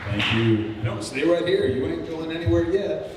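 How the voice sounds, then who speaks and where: A man's voice: on the high side, animated, moderate pace, a crowd in the background. A man hosting a live event introduces speakers and takes questions, talking loosely with many fillers. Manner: loose, filler-heavy, joking with the audience. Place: event room with audience. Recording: echoey hall, distant mic, room noise.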